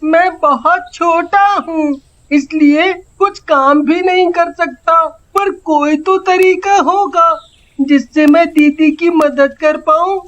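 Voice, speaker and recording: A woman's voice: very high voice, slow pace. A woman voicing a small, loving five-year-old village boy in a cartoon story, earnest and childlike, on dull audio. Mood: pleading.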